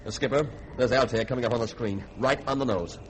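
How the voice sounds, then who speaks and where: A man playing a dutiful starship navigator in a 1950s radio drama, reporting in crisp procedural phrases. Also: mid-range voice, fast talker, close to an everyday voice. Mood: dutiful, focused. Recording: noisy 1950s radio broadcast recording.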